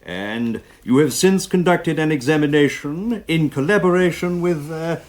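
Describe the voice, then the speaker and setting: Coroner for radio play male actor: British, fairly high voice, formal, courteous, methodical, a little hesitant. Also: unhurried, lightly acted. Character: official male coroner presiding over inquest, courteous, methodical. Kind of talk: British radio drama acting.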